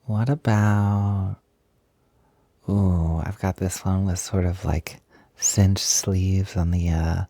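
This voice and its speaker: A young man with a low, mellow voice plays an eager, cutesy roommate in an intimate roleplay. He talks slowly and hesitantly, coaxing and chatty, as if to one listener close by. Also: little pitch movement, no echo. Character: playful, eager, cute-loving feminine male roommate. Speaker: audio roleplay performer. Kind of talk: intimate improvised-sounding audio roleplay, one-sided conversation.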